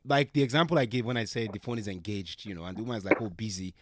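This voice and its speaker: A man's voice: lowish voice, rapid-fire, no echo, studio quality. A man from Nigeria hosting a casual podcast chat, hedging and correcting himself as he goes. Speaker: podcast host.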